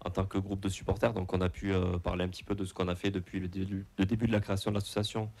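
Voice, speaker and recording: A man's voice: sits low, level tone, racing, vocal fry, a little mellow. A young man with a southwest French accent, speaking for a supporters' association, hesitant and full of fillers and restarts. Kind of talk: casual radio talk.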